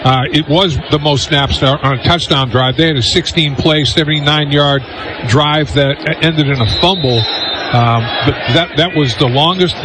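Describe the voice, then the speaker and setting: A man with an American accent giving off-the-cuff football analysis as a radio color commentator, halting and repeating himself. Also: a little high, steady tone. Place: stadium radio booth. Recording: noisy stadium crowd behind.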